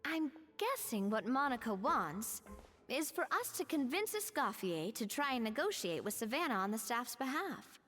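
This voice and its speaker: High, lilting friendly young woman. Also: big pitch swings, moderate pace, fairly bright tone, in a large hall. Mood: explanatory. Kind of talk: anime-style video game voice acting.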